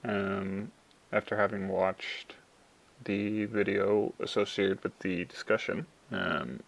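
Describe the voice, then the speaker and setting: A young man with a Canadian accent giving an informal class presentation, speaking in halting, thoughtful phrases. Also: on the low side, fairly even intonation, vocal fry. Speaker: university student.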